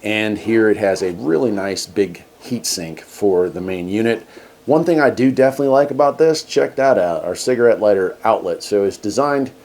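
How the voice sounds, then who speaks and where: Low-voiced man casually reviewing gear. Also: expressive, normal speed, darkish tone. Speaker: host of a radio gear review channel. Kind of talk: casual hands-on product review.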